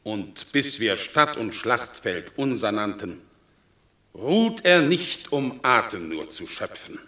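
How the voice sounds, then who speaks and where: An actor playing a stately Roman general in a classic radio play, declaiming solemnly with emphatic pauses. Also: male voice, lowish voice, big pitch swings, bright, forceful tone, heavily acted. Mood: solemn praise. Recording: echoey radio drama studio.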